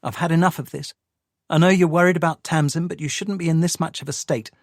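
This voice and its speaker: A man's voice: fairly high pitch, fairly even intonation, quick pace, no echo, studio quality, voice pushed up, natural voice. A man doing an anxious, pleading woman. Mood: exasperated. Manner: pleading, agitated.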